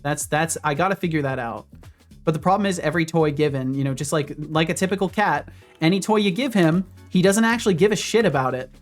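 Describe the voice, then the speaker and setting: A man's voice: on the high side, fast. A man in his thirties casually chatting with his stream viewers while he codes, with faint music behind him. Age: 30s. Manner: relaxed, talks to chat between coding asides. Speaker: streamer and game developer. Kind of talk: casual livestream chat while coding. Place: home office.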